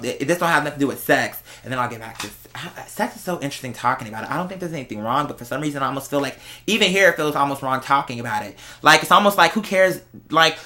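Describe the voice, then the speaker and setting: A speaker chatting casually to viewers as host, telling stories in a sassy, slangy, exclamatory way with drawn-out emphasis. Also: mid-range voice, pushed and bright. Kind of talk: casual storytelling chat to viewers.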